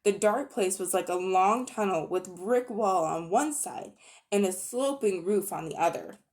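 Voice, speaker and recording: A woman giving an expressive dramatic reading of a children's novel. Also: medium pitch, a little slow. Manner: expressive storytelling. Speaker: reader.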